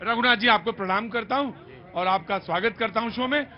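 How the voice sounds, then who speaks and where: A male TV reporter hosting a roving street news show, briskly moderating and cutting people off. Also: high voice. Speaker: TV reporter host. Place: outdoor street crowd. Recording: dull outdoor audio with crowd. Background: faint crowd.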